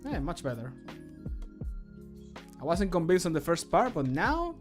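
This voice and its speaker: Chatty male streamer, slightly high voice, laughs a lot, talks to himself on a home mic, soft music behind. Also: sing-song, measured pace. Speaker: modder and streamer. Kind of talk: casual chat while recording voice lines.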